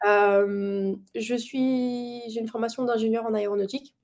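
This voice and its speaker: A woman's voice: on the high side, steady tone, unhurried, darkish tone. A thirty-year-old woman with a French accent from France, chatting as an interview guest and often rephrasing as she goes. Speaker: podcast guest, engineer and investing content creator. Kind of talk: casual interview chat.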